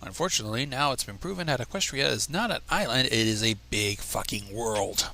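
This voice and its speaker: A man reads a story aloud on a livestream in a bright voice with some gravel to it. His delivery is casual and loose, with stumbles and asides, and his pitch moves around a lot. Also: expressive, a little fast. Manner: casual, swears, stumbles over lines. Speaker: fan fiction reader.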